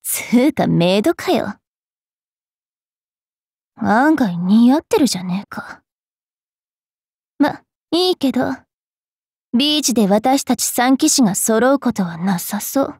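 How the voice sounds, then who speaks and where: Haughty high-voiced vampire fairy girl. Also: animated, slow pace, long pauses, darkish tone, no echo, clean studio sound, heavily acted. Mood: mocking, teasing. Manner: brash, teasing, rough-spoken. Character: haughty, mischievous young female vampire fairy priestess. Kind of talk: anime game character voice lines.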